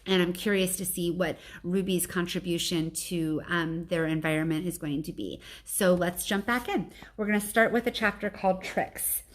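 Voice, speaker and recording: A woman's voice: on the low side, normal speed, hardly any pauses. A woman reading a children's storybook aloud as a teacher, narrating chapter text with brief asides.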